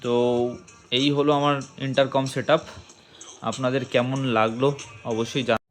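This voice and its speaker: Man in casual tutorial over loud music. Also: medium pitch, fairly even intonation, moderate pace. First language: Bengali. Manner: explains step by step, addressing viewers directly. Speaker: motovlogger and product reviewer. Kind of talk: casual hands-on tutorial vlog.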